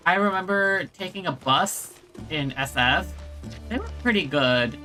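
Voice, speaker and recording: A man's voice: high-pitched, animated, a little slow, sharp tone. A man giving casual, rambling gameplay commentary on a livestream, talking to himself and his viewers. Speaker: video game livestreamer. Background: video game music.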